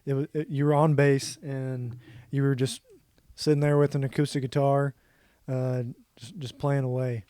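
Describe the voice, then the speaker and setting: Host guy, halting questions w/ lots of ums, dry close sound. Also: mid-range voice, little pitch movement, mellow, no room sound. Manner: halting, with fillers. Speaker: podcast host. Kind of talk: casual podcast interview.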